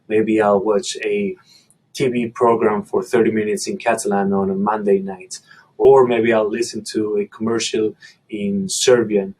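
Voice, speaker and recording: Spanish-native guy walking through his language routine, kinda husky, steady. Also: on the low side, measured pace, low-quality recording. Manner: methodical, explanatory. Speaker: language learning video host. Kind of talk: instructional monologue to camera.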